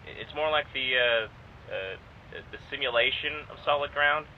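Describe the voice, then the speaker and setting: An actor playing a wry young test subject in an audio drama, speaking informally and haltingly with a sardonic edge. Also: male voice, mid-range voice, phone-quality sound, slight background noise, natural voice. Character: wry, rambling young man describing his memories, guarded test subject. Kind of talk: naturalistic audio drama acting.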